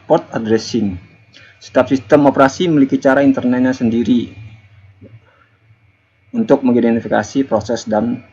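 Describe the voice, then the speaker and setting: Guy doing an article review, kinda halting, husky voice, slightly muffled audio. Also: medium pitch, a little mellow. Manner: halting, explanatory, repeats phrases and adds informal asides. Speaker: presenter reviewing a research article. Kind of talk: informal academic presentation, partly reading aloud.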